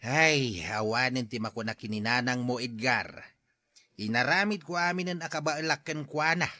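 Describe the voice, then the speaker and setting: A man acting a long-suffering older husband and father in an Ilocano radio drama, admonishing and expressive. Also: medium pitch, moderate pace, no echo, studio quality, close to an everyday voice. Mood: resigned reproach.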